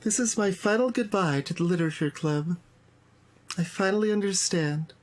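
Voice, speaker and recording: A man voicing an earnest, sorrowful girl reading a farewell letter from a visual novel in a solemn, measured way. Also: sits high, pitched above the performer's own voice, natural voice. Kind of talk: dramatic read-aloud of visual novel text.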